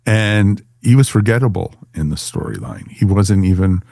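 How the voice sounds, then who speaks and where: A man's voice: low-pitched, sing-song, moderate pace, creaky, dark tone, no echo, studio quality. A man hosting a podcast in a casual, chatty, informal way. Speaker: podcast host.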